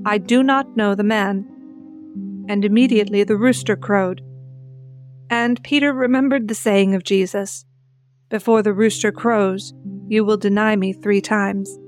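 A woman's voice: medium pitch, a little slow. A woman narrator giving a scripted reading of scripture. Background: music with guitar.

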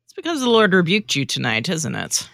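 Lady doing peppy young disciple dude, blunt n teasing, bright lively voice, bible sitcom. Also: on the low side, a little fast, vocal fry, no room sound, studio quality, exaggerated voice. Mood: concerned. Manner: peppy, casual, teasing buddy talk. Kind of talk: biblical sitcom radio play.

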